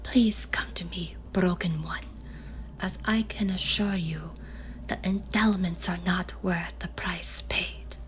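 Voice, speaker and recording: A woman's voice: on the low side, steady tone, slow pace, slight background noise, voice pushed down. A woman voicing a remorseful yet defiant shamaness, speaking in an emotional half-whisper for a horror audio roleplay. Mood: pleading.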